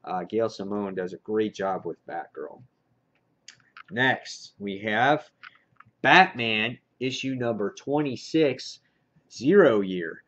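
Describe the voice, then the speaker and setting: A man's voice: on the low side, animated, takes it slowly, a bit bright. A man chatting casually and enthusiastically as the host of a comic book review vlog, in a lo-fi recording. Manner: enthusiastic fan talk. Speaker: comic book reviewer hosting a haul video. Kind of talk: casual vlog chat, showing and commenting on purchases. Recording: dull lo-fi sound.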